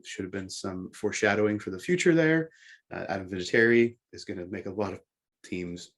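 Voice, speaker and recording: Male sports podcast co-host, lower register, giving casual countdown commentary. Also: brisk.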